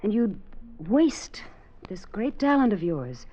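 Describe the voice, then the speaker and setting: A woman's voice: big pitch swings, slow pace, warm tone. An actress playing a devoted young woman on a research team in a 1950s radio drama. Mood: pleading. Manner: pleading, emotional, pitched high. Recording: dull old radio broadcast with faint music.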